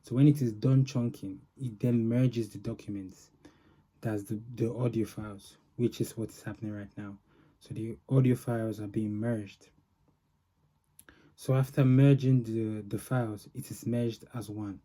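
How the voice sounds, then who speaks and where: A man with a low, very mellow and dark voice walks through a software demonstration. He speaks informally and haltingly, often pausing mid-phrase as he explains each step. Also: fairly even intonation. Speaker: software developer presenting a demo. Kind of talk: informal product demo walkthrough.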